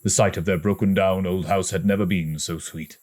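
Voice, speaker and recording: A man reading a story aloud as an audiobook narrator, in a dramatic, expressive storytelling manner. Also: deep voice, normal speed. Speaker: audiobook reader.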